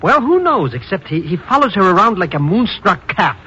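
An actor playing a cowardly, fast-talking small-time secret agent with hammy, wheedling patter in an old radio drama. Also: male voice, sits high, very animated, bright, forceful tone, full character voice. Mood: nervous, complaining. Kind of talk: 1950s radio drama acting. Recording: muffled, hissy old radio recording.

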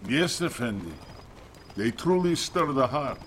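An actor playing a proud, courteous Egyptian tour guide with formal, deferential phrasing in a radio drama. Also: male voice, mid-range voice, animated, unhurried, slight background noise, natural voice. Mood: calm, reverent.

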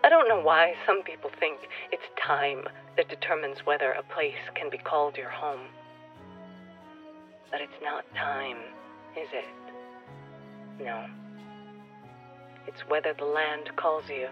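A woman's voice: deep voice, measured pace, long pauses, muffled recording. Wistful woman confiding over music. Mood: tender, earnest. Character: earnest nature-loving newcomer woman speaking to a departed listener. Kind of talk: radio play monologue.

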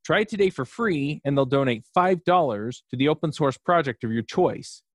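A man reading a sponsor advertisement in a conversational, pitching manner. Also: animated, normal speed, dry recording, clean studio sound.

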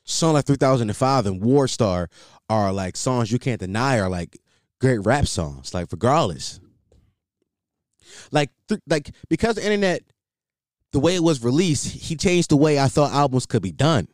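A man's voice: mid-range voice, sing-song, moderate pace, darkish tone, no room sound, studio quality. A young man rambling casually as a solo podcast host, repeating himself and filling with "like".

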